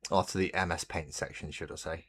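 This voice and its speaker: A man hosting a relaxed hobby livestream, chatting in a rambling way and greeting viewers while he paints. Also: low voice, a little fast, breathy edge. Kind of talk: casual chat while painting. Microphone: desk mic on a webcam stream.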